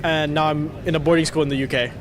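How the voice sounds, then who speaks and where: A man's voice: on the high side, level tone, quick pace, slight background noise. A young man from Singapore, a racing driver answering interview questions casually and a little hesitantly. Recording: clear crowd chatter behind, outdoors.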